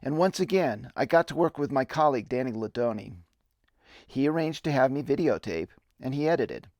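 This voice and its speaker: Expressive middle-aged man narrating a memoir. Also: medium pitch, brisk, no room sound, studio quality. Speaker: narrator and videographer. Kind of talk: dramatic reading of a personal memoir. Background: music bed.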